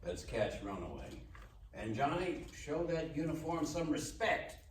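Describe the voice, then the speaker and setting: A man acts out a proud, older small-town sheriff with a blunt, commanding manner. His fairly high male voice swings widely in pitch. It is a live script read, picked up from a distance in an echoey room. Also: big pitch swings, measured pace. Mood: low, menacing. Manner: blunt, authoritarian. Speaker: actor at a live table read. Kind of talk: live table read screenplay acting. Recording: echoey, distant room mic with faint noise.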